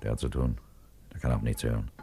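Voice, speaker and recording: Very deep, subdued ship's officer. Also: male voice, little pitch movement, fast talker, gravelly, warm tone. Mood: subdued, reassuring. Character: dutiful, complicit ship's officer on the bridge. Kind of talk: 1950s German radio drama acting. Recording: 1950s radio recording, music underneath.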